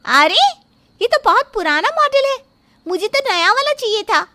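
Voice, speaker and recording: A woman's voice: very high-pitched, sing-song, moderate pace, sharp tone, faint music behind, exaggerated voice. A woman playing a spoiled, pouty twenty-one-year-old little sister in a story drama, whiny and petulant. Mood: whiny, disappointed. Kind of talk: dramatised Hindi moral story acting.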